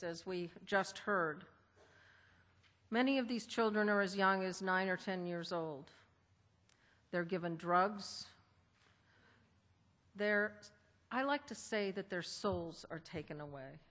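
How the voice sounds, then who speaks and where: A woman speaks on a conference panel in a measured, emphatic way. Her slightly low voice carries a long reverb from a large hall. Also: a bit bright.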